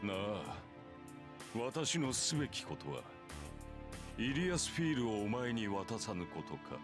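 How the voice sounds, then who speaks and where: A calm, menacing middle-aged priest in a visual novel. His low voice moves expressively in pitch, with music clearly playing behind it. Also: brisk. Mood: calm threat. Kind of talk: Japanese visual novel voice acting.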